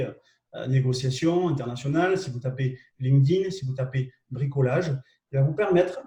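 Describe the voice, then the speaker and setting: A man with a southwest French accent walks through tools in a live online session, hesitant and repetitive, often asking whether people are following. His voice sits fairly high but sounds mellow and soft-edged. He is caught by a mic set back from him in an echoey room. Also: recorded from a distance. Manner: hesitant and repetitive, checks in with listeners. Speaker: co-host presenting marketing tools. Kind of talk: live webinar explanation.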